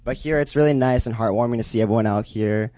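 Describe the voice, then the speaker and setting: A young man from Wisconsin introducing himself to a gathering in a quick, friendly way. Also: medium pitch, mellow, no room sound. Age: young adult. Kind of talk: casual public introduction.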